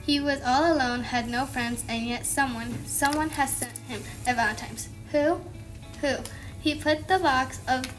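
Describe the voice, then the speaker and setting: High-voiced woman reading a storybook. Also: fairly bright tone, low-quality recording. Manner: halting, repeats words and phrases. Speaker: student reader. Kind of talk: reading a children's storybook aloud. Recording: music playing behind the voice. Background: music.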